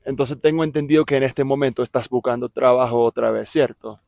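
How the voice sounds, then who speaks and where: A man's voice: mid-range voice, fairly even intonation, brisk, gravelly, a little mellow, no room sound, clean studio sound. A man interviewing a guest, asking curious questions and checking in with short tag questions. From Colombia. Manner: inquisitive, ends questions with tags.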